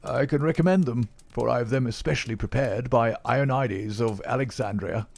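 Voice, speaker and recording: A man's voice: mid-range voice, normal speed, creaky, a little mellow, dry recording. A man voicing a frail, effusive old male professor, a chain-smoking bookworm with a mincing way of speaking. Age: older. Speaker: character in a read-aloud story.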